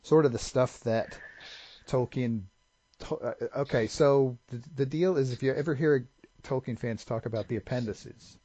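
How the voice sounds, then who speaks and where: A man's voice: medium pitch, moderate pace, dark tone, dry recording. A man co-hosting a casual podcast chat, explaining things at length and wandering into tangents. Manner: rambling, tangent-prone lore explainer. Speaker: podcast co-host. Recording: slightly dull lo-fi audio with faint hiss.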